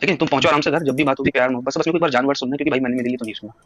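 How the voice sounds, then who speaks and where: A man's voice: medium pitch, very fast, fairly bright tone. A man talking casually and insistently at length on a recorded phone call, trying to persuade the other person. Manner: insistent, long-winded, persuasive.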